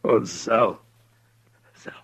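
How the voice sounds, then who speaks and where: An actor playing a stern, terse frontier marshal in a 1950s radio western. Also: male voice, extremely slow, fairly bright tone. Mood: grim. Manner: terse, commanding, grave. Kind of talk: 1950s radio drama acting. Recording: muffled vintage radio recording.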